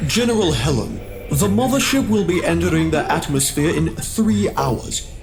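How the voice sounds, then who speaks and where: A man acts a dutiful alien officer in an audio drama, with a heavily gravelled, crackling voice set a little high. His delivery is formal and deferential, yet it rises and falls freely, over clear music. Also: expressive, moderate pace. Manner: deferential, formal military reporting. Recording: music behind the voice.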